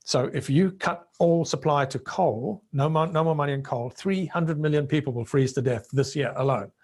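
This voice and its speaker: A man's voice: fairly high pitch, normal speed, a little mellow. A middle-aged man who grew up in Zambia, first language Bemba, answering interview questions emphatically and often restarting words. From Zambia and Rhodesia. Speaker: interview guest, businessman.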